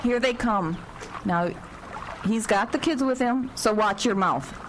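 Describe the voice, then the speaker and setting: A woman's voice: a little high, measured pace, background noise, lightly acted. An actress in a live radio drama playing a no-nonsense female sheriff. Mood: matter-of-fact.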